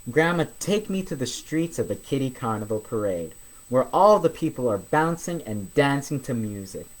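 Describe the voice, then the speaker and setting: Male storyteller, mid-pitched, expressive read-aloud host for a children's picture-book session. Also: moderate pace, low-quality recording.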